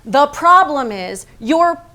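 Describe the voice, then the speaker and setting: A woman playing a needling, self-lacerating painter in a theatrical staged reading, deliberate and pointed. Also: high voice, sing-song, bright, forceful tone, exaggerated voice. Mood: provoking.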